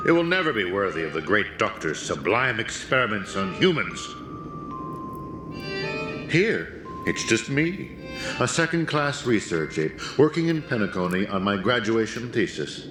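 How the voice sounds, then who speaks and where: An actor playing a pompous, condescending research scientist in a video game, lecturing theatrically. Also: male voice, fairly low pitch, big pitch swings, unhurried, reverberant, music in the background. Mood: grandiose. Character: pompous second-class male research scientist, grandiose and condescending. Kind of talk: dramatic video game dialogue.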